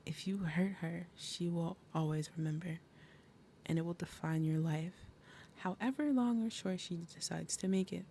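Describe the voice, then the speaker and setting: A woman playing a fierce, protective demon mother and princess of wrath in an ASMR roleplay, with dramatic, breathy, menacing delivery. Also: on the low side, normal speed, dark tone, a little hiss or hum, lightly acted.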